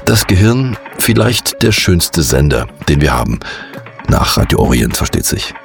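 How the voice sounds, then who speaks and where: A man hosting a radio interview, asking calm, reflective questions. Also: extremely low pitch, a little slow, creaky, music in the background. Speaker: radio host.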